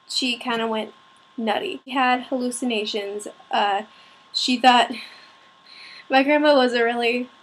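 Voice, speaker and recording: A young woman with a fairly high, husky voice, sounding slightly sick. She speaks as a vlogger sharing something personal, in a hesitant, informal and self-conscious way. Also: a bit bright, low-quality recording. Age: young adult, student. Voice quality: raspy. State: slightly sick with a cold-like rasp. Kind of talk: personal talk to camera.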